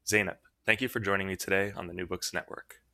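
Male podcast host, very low and crisp, reading formal intros, dry close mic. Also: steady tone, quick pace, sharp tone, no room sound. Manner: formal, read-aloud delivery. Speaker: podcast host, assistant editor. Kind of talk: scripted introduction and interview questions.

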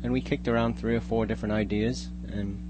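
A man, a guest writer, giving casual, somewhat halting and reflective interview answers. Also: on the low side, flat delivery. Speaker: guest, amateur scriptwriter. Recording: noticeable hum and background noise.

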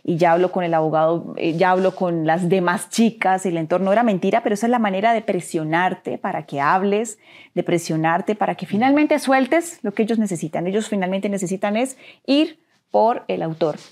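A woman's voice: medium pitch, a little fast, darkish tone. Earnest Colombian woman recounting hardship. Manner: earnest, repeats phrases for emphasis. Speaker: interview guest. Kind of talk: emotional personal testimony.

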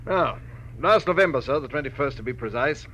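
A man's voice: mid-range voice, lively intonation, a little fast, a bit bright, lightly acted, rough recording. An actor playing a precise, deferential secretary in his forties, very polite and formal, in a 1940s radio drama. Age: 40s. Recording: faintly hissy old radio recording.

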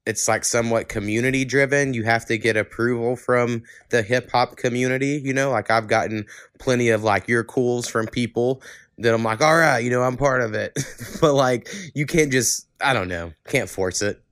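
A man's voice: mid-range voice, moderate pace, fairly bright tone, no room sound. A man talking informally in a casual podcast conversation, slangy and loose as a host. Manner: informal, occasional swearing, slangy.

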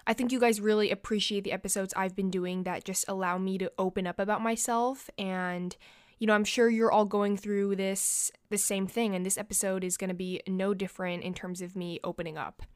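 A woman's voice: medium pitch, steady tone, brisk, clean tone, no echo, studio quality. Stuffy-nosed young woman podcast host. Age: young adult. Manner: chatty and confiding, many "like" and "you know" fillers. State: stuffy nose from allergies. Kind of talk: casual solo podcast chat.

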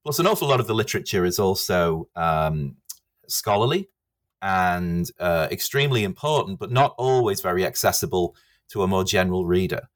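A middle-aged man from England, a history professor speaking as a podcast guest with many pauses and restarts. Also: sits low, expressive. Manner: hesitant, many uhs and restarts. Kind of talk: podcast interview.

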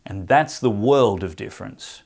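A man's voice: on the low side, animated, gravelly, darkish tone, breathy edge. A man hosting an interview show, reading a statement firmly and then asking questions with many hesitations. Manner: firm read-aloud delivery; halting filler-heavy questions. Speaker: host. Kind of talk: podcast interview.

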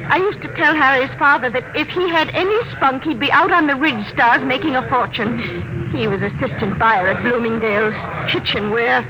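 A woman's voice: high voice, moderate pace, close to an everyday voice. An actress playing a chatty, motherly, naive older widow in old radio drama style. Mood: chatty, cheerful. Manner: garrulous, fluttery. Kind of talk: 1950s radio drama acting. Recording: noisy vintage radio broadcast.

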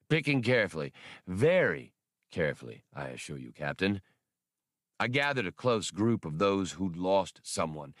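A man voicing a courteous, grave older scholar in an audiobook, with formal, deliberate wording. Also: deep voice, no echo, studio quality. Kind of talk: audiobook character dialogue.

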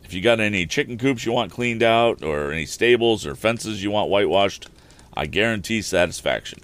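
A man's voice: on the low side, expressive, normal speed, fairly bright tone. A man voicing a rustic older handyman who drives a mule, long-winded and deferential. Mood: folksy, deferential.

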